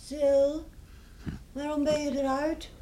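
An actress in a Dutch radio play, playing an anxious, quietly knowing middle-aged farm wife and mother, terse and wistful. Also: sits high, flat delivery, slow pace. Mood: wistful, questioning. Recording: faint noise on radio recording.

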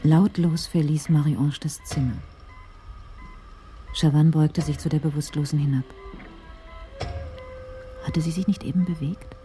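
Female narrator, radio thriller, deep mellow voice, measured, literary storytelling. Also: steady tone, faint music behind. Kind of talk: scripted narration of a radio crime drama.

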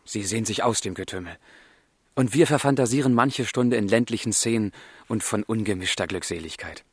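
A man's voice: fairly low pitch, brisk, slightly rough, no room sound. An actor playing a passionate, melancholy young man in love, speaking earnest literary German radio drama lines. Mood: tender, wistful. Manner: earnest, literary, brooding, emotional.

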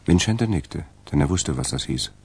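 A man with a gravelly voice narrating a German radio crime drama from a script. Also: very deep voice, animated, normal speed.

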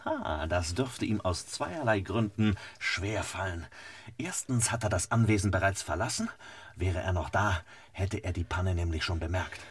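A low, rough-edged voice for a male private eye in a radio crime play. He is dry, unruffled and quick with a sardonic quip. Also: animated. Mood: dry, smug. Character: cool, wisecracking male private detective.

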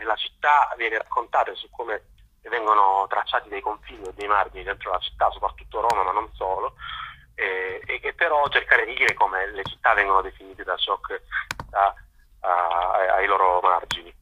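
Halting man with a sharp, husky voice. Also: on the low side, steady tone, poor audio. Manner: halting, searching for words with long hesitations and repeated words. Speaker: interview guest, journalist. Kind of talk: spontaneous explanatory radio interview.

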